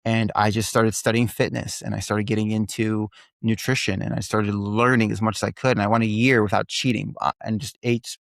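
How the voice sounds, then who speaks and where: Fast-talking man in his forties, dry close sound. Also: fairly low pitch, level tone, creaky, no echo. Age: 40s. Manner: fast, candid, self-deprecating, many restarts. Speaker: podcast guest. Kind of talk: candid interview storytelling.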